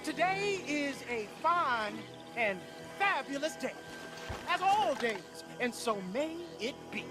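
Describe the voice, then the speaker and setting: An actor playing a young outlaw who poses as a grandiose, awkward preacher, halting as he goes, in a western game. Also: very high-pitched, very animated, over music, heavily acted. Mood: grandly nervous. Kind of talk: theatrical mock preaching in a video game.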